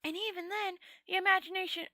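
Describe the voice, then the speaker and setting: A woman acts out a long-dead old wizard from a fantasy storybook. The voice is high and close, with a dry sound. He rambles proudly, as if death were an ordinary matter. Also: fairly even intonation, fast talker, sharp tone, dry recording. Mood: explaining. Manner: rambling, matter-of-fact, conversational.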